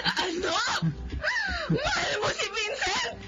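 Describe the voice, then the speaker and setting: Very high-pitched young Filipina daughter. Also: female voice, sing-song, takes it slowly, shouting, over music, full character voice. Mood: anguished, tearful. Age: young adult. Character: dutiful young daughter, betrayed and heartbroken twin. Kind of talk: Tagalog radio drama acting. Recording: dull, noisy radio drama audio.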